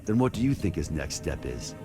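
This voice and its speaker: A man playing a gruff, blunt, world-weary fighter in a video game, terse and dry. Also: low voice, very animated, brisk, over music. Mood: grim, dry. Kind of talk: English game voice acting.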